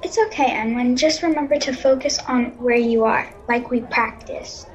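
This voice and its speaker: A polite, soothing young adventurer played by a girl in a fantasy radio play. The voice is high, heard through dull, lo-fi call audio. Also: moderate pace, lightly acted. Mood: reassuring. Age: child, 10 to 13. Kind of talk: amateur radio-serial fantasy acting.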